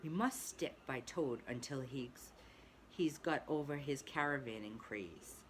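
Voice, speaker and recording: A woman's voice: rumbling low, a little slow, a little hiss or hum. A woman voicing a loyal, homesick male water rat in a storybook read-aloud, speaking in a stern but wistful way. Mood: hushed firm.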